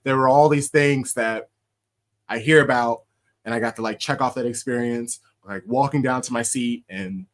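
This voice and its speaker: A young American man hosting a casual podcast, an animated storyteller who laughs often and shouts out quoted chants. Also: mid-range voice, moderate pace. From United States. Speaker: podcast host.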